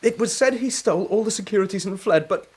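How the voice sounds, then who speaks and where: Desperate young suspect, high-pitched, husky, halting, pleading, agitated, radio drama. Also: male voice, darkish tone. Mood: indignant, distressed.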